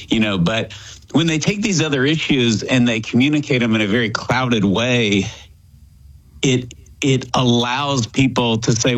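A man in his thirties, a guest on a talk radio show, speaking in an earnest, animated way and laughing easily. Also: medium pitch, rough recording.

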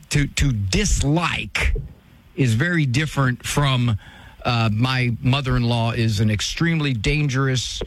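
A man's voice: mid-range voice, dry recording. A man hosting an advice call-in show, talking directly and firmly and pressing the caller with pointed questions. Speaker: radio advice host.

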